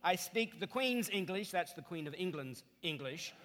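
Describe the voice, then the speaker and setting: A man's voice: sits high, lively intonation, moderate pace, in a large hall, heavy background noise. A middle-aged Canadian man preaching as a guest pastor, telling self-deprecating, chatty stories to his congregation. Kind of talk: sermon with humorous stories.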